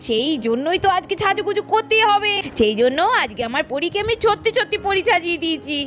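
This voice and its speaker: A woman's voice: extremely high pitch, very animated, quick pace, a bit bright, a little hiss or hum, full character voice. A woman voicing a doting young mother in a doll cartoon, fond and sing-song, chiding her husband. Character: doting young mother Indu, proud and bickering. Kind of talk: Bengali doll cartoon voice acting.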